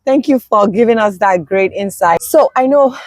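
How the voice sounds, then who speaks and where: A woman's voice: mid-range voice, animated, normal speed, a little mellow, no echo. A woman with a Nigerian accent hosts an interview in a mid-range voice. She keeps backing up her guest with murmurs of agreement. The recording is close and dry. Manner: affirming, frequent murmured agreement. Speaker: podcast host. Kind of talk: conversational interview.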